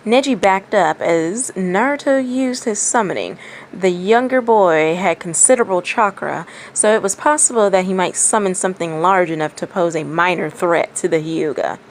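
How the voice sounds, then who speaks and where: A woman reading fan fiction aloud as expressive story narration. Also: lowish voice, normal speed, slight background noise.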